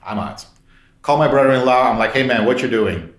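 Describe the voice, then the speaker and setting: A man's voice: medium pitch, a little fast. A man from Belgium, a podcast guest telling anecdotes casually and informally, re-enacting conversations as he goes. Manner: informal, re-enacts dialogue with frequent "like". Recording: roomy, echoey sound.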